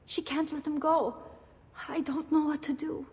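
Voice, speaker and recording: A woman's voice: high voice, level tone, normal speed, darkish tone. An actress playing a worried young noblewoman with an Italian accent in a video game drama. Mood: worried, sad. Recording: long hall reverb.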